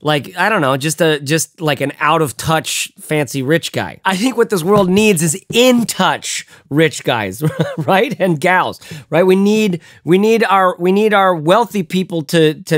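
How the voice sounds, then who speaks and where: Animated, rambling man in his thirties. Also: on the high side, no room sound, studio quality. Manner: animated, rambling, swears casually, many fillers. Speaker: bag reviewer. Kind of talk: casual product review vlog. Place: home studio room, indoors.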